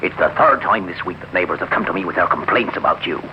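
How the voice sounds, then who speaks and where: A man's voice: sits low, animated, quick pace. A man acting an earnest, long-suffering young husband in a dramatic old radio play. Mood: exasperated. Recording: dull, hissy old radio recording.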